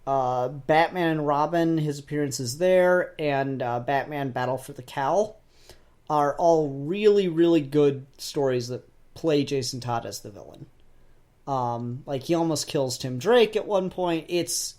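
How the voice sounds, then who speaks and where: A man's voice: a little high, slow pace, a little mellow. Man rambling casually on a podcast. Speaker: podcast panelist. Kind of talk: casual discussion.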